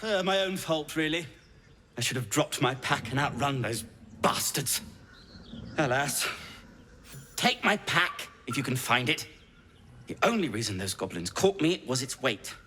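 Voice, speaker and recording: A man voicing a proud, sardonic, weary deep gnome in a video game, with dry, formal phrasing. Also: very animated, measured pace, long pauses, sharp tone, slight background noise. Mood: rueful.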